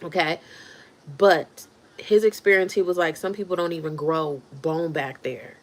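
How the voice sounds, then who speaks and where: Casting note: thirties woman, lowish voice, loose chatty livestream host answering comments. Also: steady tone, unhurried, low-quality recording. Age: 30s. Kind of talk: casual livestream chat.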